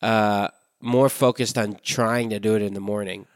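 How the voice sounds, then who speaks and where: Man, podcast co-host, smooth resonant voice, dry sound, rambling casual talk. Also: lowish voice, steady tone, normal speed, no room sound. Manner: rambling with many fillers. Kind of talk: casual chat. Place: hotel room abroad. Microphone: remote call from a hotel room.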